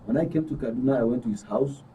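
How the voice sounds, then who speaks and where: A man in his fifties with a Northern Nigerian accent, telling a personal story haltingly and repeating phrases. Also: mid-range voice, warm tone. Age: 50s. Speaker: panel guest. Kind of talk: personal storytelling in a studio discussion. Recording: distant mic with faint noise.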